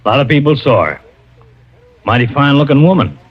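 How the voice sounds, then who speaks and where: An actor playing an easygoing, laconic country sheriff in an old-time radio Western mystery. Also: male voice, medium pitch, very animated, a little fast, very noisy, close to an everyday voice. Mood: easygoing, matter-of-fact. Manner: laconic, folksy. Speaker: supporting actor in a radio drama. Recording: muffled old radio recording.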